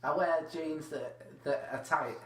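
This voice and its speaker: A man's voice: fairly high pitch, a little slow, fairly bright tone. A young man hosting a casual comedy podcast, rambling and hedging with lots of "like"s. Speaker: podcast host. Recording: roomy echo with faint hum.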